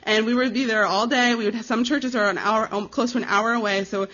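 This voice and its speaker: A young American woman speaking as a missionary, giving an informal slide presentation in a conversational, earnest and halting way. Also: fairly high pitch, steady tone, a bit bright. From United States. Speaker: missionary giving a ministry report. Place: live talk before an audience. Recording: dull, slightly lo-fi recording.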